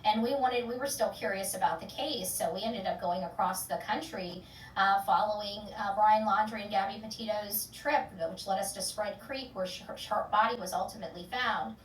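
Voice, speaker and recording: A woman's voice: mid-range voice, normal speed, roomy sound, far from the mic, a little hiss or hum, poor audio. A woman hosting an online true-crime channel, rambling casually with many fillers and restarts before an upbeat sign-off. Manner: loud, upbeat sign-off catchphrases. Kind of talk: casual chat, sign-off to viewers.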